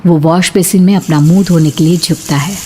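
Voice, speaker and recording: A woman's voice: fairly low pitch, fairly even intonation, fairly quick, a little mellow, a little hiss or hum. A woman reading scripted, dramatic story narration as the narrator. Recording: clear music bed under voice.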